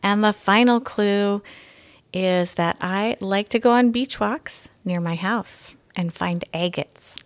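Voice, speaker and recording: A woman's voice: medium pitch, slow. Woman reading a children's story aloud. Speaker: guest story reader.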